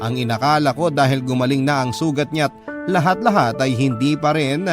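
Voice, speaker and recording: A man's voice: medium pitch, fairly quick, music in the background, lightly acted. An actor playing an earnest, wistful young man who narrates his love story in a scripted, reflective radio drama style. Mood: wistful, resigned.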